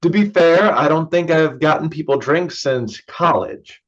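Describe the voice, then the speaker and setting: A man's voice: a little high, a little slow, lightly acted. Guy acting young brother in a play, evasive n jokey, natural delivery, kinda muffled lo-fi sound. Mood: casual, joking. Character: evasive young man hiding a drinking habit, a joking brother. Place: remote online staged reading.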